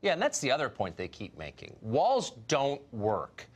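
Sardonic male news host, lively pitch. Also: normal speed. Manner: mocking, sardonic delivery. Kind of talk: sarcastic scripted monologue. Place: television news studio.

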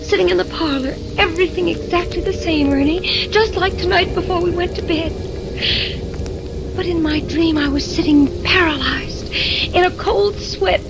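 A woman's voice: extremely high pitch, normal speed, creaky. A woman playing a frightened, pleading wife in a 1940s radio drama, with melodramatic delivery. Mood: haunted, fearful. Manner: breathless, tearful, melodramatic pleading. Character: terrified, pleading wife recounting a nightmare. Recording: noisy vintage 1940s radio recording.

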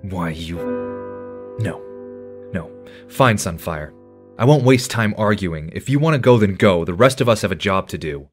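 A man's voice: low-pitched, lively intonation, normal speed, music in the background. An actor playing an earnest, stern young male superhero team leader in an audio drama, serious and commanding. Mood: firm, frustrated.

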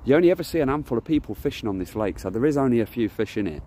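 A man's voice: mid-range voice, lively intonation, racing, mellow, slight background noise. A man chatting casually as he walks around a lake, filming on his phone, rambling and correcting himself as he goes. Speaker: carp angler vlogger. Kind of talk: casual walk-and-talk vlog. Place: outdoors by a lakeside, walking through bushes. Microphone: phone camera held while walking.

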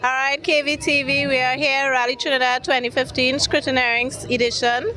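A woman's voice: high voice, little pitch movement, a little fast. A woman reporter opening an event interview in a bubbly, chatty way. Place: evening venue. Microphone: handheld mic. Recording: event with music behind.

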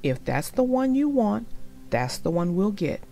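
A woman voicing a gentle, mildly doubtful mother turtle in a children's storybook. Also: mid-range voice, sing-song, unhurried, creaky, soft background music. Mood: agreeable.

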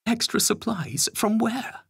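A man acts out a pragmatic, cold-blooded Home Secretary in a dramatic audiobook. The voice is pushed well up in pitch, slightly rough and dryly recorded, pressing each argument with calculating insistence. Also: slow, no echo, studio quality, heavily acted. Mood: firm. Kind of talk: acted dialogue in a dramatic story reading.

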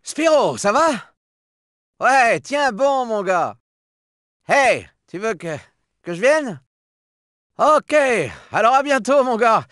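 High, lively cocky cheetah sidekick. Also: male voice, takes it slowly, pauses often, dry recording, studio quality, heavily acted. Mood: chummy, boastful. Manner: breezy, boastful, chummy. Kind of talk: video game character voice acting in French.

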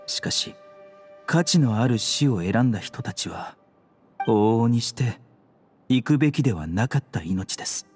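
An actor playing a calm, polite physician in a Japanese video game, speaking in a measured, soft and melancholy way. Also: male voice, medium pitch, faint music behind, lightly acted. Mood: reflective.

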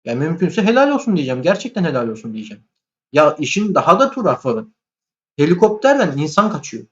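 A man chatting casually and colloquially about films, opinionated and animated. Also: on the high side, brisk, lo-fi sound.

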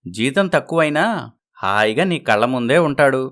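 A man playing a regretful elderly village father in an animated moral story, gravely giving advice. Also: mid-range voice, normal speed, close to an everyday voice.

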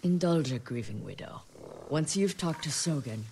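A woman's voice: low voice, expressive, moderate pace, a crowd in the background. A woman playing a grieving, vengeful older noblewoman warrior in a video game, stern and formal. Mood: guarded, grave. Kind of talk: dramatic video game acting.

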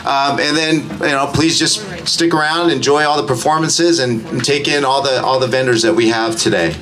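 A man's voice: mid-range voice, fairly even intonation, normal speed, a bit bright. An American man co-hosting a public event, speaking into a stage microphone at an outdoor festival. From Sacramento, California. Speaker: event co-host and local official. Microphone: stage microphone through PA speakers. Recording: noisy outdoor PA sound.

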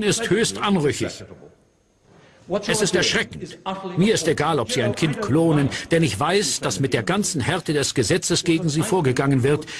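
Guy, bit high voice, dubbing a debate speech, crowd noise behind. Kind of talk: scripted voice-over of debate speeches.

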